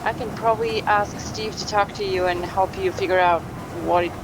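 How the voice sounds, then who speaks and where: A woman plays a helpful native Bulgarian speaker on a phone call in an audio drama. Her pitch is average and her delivery calm and natural. Clear windy rumble runs behind her. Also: normal speed, close to an everyday voice. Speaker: actor in a fiction podcast.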